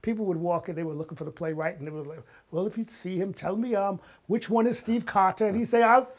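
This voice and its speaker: Older man, higher-pitched voice, telling stories. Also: quick pace. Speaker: guest, writer and filmmaker. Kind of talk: casual interview storytelling.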